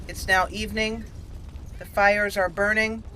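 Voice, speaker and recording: A woman hosting a roleplay game playthrough, deliberately narrating her actions aloud. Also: mid-range voice, level tone, pushed and bright, slight background noise, rough recording. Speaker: gaming channel host. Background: faint game music.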